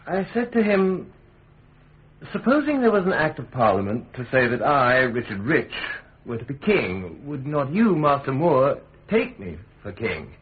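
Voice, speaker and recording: An actor playing a nervous, evasive witness giving hesitant, subdued testimony in a radio drama. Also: male voice, medium pitch, big pitch swings, measured pace, narrow, tinny audio. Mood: uneasy. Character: uneasy, evasive male witness, ambitious perjurer.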